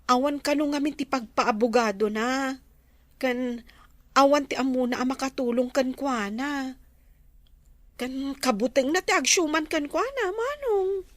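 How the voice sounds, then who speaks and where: Casting: helpful young waitress friend, high voice, concerned and inquisitive, radio drama. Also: female voice, a little slow, no echo. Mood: worried. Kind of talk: Filipino radio drama acting.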